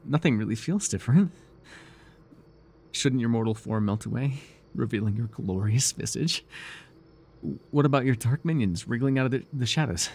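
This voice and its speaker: A man voicing a devoted, nervy, lovestruck cultist in an ASMR roleplay, earnest and halting, shifting between grand and flustered delivery. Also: mid-range voice, darkish tone, slight background noise. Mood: confused, flustered. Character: devoted, awkward young male cultist, zealous, lovestruck, nervy.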